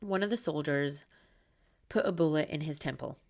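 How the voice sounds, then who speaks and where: Podcast host, thirties, woman from Scotland; light Scottish-American blend, lowish voice, earnest and open. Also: moderate pace. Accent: slight Scottish mixed with American. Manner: earnest and candid, conversational. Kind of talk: personal podcast monologue.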